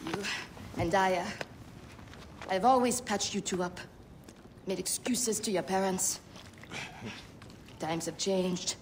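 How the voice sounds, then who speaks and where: A woman's voice: fairly low pitch, takes it slowly, long pauses. An actress playing a caring village woman who fusses tenderly over an old friend. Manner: fussing, tender and motherly. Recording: background noise.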